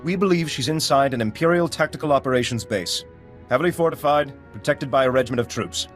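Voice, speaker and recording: An actor playing a male resistance leader in a game cutscene, speaking in a serious, measured way. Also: medium pitch, lightly acted. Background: game music.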